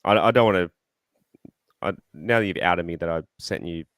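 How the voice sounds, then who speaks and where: An Australian man hosting a casual fan podcast, rambling and excitable, his voice slightly croaky. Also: sits low, moderate pace, dry recording, clean studio sound. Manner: rambling, excitable, self-deprecating. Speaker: podcast host.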